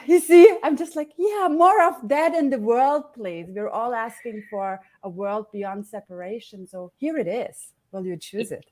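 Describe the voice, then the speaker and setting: A woman talking in a casual panel chat, animated and acting out her own quotes. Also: a little slow, few pauses. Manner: animated, swings into high acted-out quotes. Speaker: facilitator on a panel.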